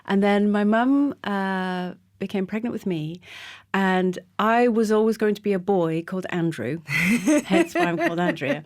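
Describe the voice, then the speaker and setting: A woman's voice: medium pitch, lively intonation, a little slow, hardly any pauses, dry recording, studio quality. Candid woman guest telling stories. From Trinidad, Scottish parents. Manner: warm storytelling, candid. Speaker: guest, TV presenter. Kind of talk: casual interview chat.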